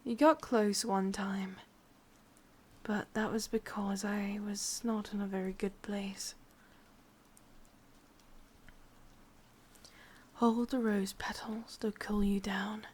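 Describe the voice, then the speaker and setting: A woman playing a sadistic, possessive, lovesick witch in a whispered ASMR roleplay, speaking intimately in an English accent with a breathy, husky voice. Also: mid-range voice, little pitch movement, a little mellow, a little hiss or hum, lightly acted. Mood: teasing, secretive. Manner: slow, intimate, menacingly tender.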